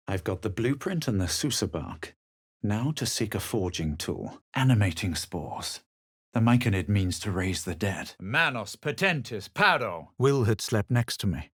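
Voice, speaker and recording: A man playing a haughty, self-assured fantasy adventurer in a video game, speaking in a measured, superior way. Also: on the low side, husky, no echo, studio quality, heavily acted. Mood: intense spellcasting. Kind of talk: video game character voice lines.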